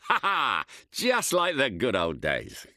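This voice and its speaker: A man voicing a suave, confident British spy car in a video game, polite and clipped, with theatrical gentlemanly delivery. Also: medium pitch, wildly swooping pitch, slow, no echo, studio quality, heavily acted. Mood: pleased. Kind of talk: scripted game character catchphrases.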